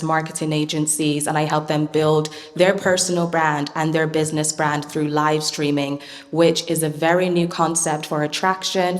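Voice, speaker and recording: A woman's voice: low voice, in a large hall. A woman partnerships lead speaking on a panel in a polished, upbeat manner. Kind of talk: panel discussion talk.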